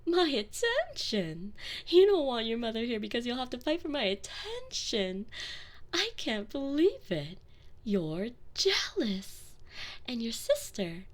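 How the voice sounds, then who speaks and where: A woman voicing a seductive, commanding vampire mistress in an intimate audio roleplay, teasing and manipulative, often dropping to soft whispers. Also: on the high side, huge pitch swings, slow, heavily acted. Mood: intrigued. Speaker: voice actress in a one-sided audio roleplay. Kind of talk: intimate binaural roleplay, addressing the listener.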